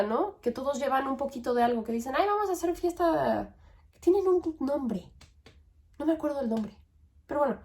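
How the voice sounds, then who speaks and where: A woman's voice: on the high side, darkish tone. A young woman with a Mexican accent and a slightly raised voice talks through her thoughts as a podcast host. Her delivery is conversational and musing, full of little tag questions and fillers. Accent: Mexican Spanish. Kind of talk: reflective monologue.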